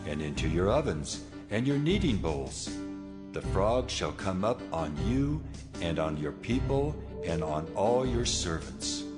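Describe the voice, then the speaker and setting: A man playing God in a dramatized Bible reading, speaking in a stern, measured, declamatory way. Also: lowish voice. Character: God, a solemn, commanding divine voice. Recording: music bed behind the voice. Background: music.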